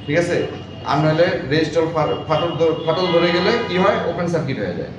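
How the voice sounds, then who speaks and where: A man's voice: a little high, quick pace, music in the background. A man lecturing a class as a repair instructor, repeating points and often quizzing his listeners. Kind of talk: classroom lecture with check questions. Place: echoey indoor classroom. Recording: very echoey room, far mic, clear noise, dull.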